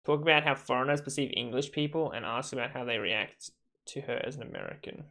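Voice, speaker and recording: A man's voice: medium pitch, quick pace, bright, forceful tone. A man giving casual livestream gaming commentary in a slangy, sweary, bantering way. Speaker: livestream gamer.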